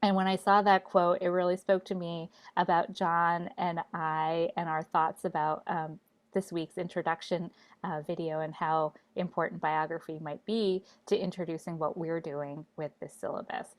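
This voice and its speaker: A woman with a fairly low voice co-hosts a relaxed discussion. She speaks in a measured way, with some fillers, and reads passages aloud. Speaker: co-host. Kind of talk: conversational discussion.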